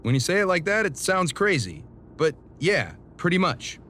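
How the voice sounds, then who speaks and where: A man's voice: high-pitched, sing-song, normal speed, pushed and bright, slight background noise, full character voice. A man playing an easygoing, earnest, slightly sheepish wrestler in casual video game cutscene dialogue.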